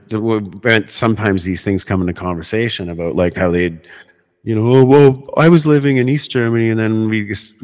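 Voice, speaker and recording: A man's voice: lowish voice, a bit bright, long reverb tail. A man hosting a podcast, chatting casually in a rambling way, full of fillers and restarts. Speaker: podcast host. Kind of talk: casual conversation.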